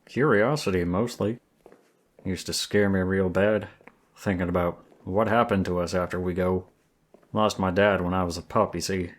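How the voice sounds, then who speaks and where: A man's voice: sits low, fairly even intonation, moderate pace. A man voicing a flirty, friendly werewolf guide in an ASMR roleplay, with a folksy, teasing Southern US drawl. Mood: reflective, gentle. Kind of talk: ASMR roleplay, intimate one-sided dialogue.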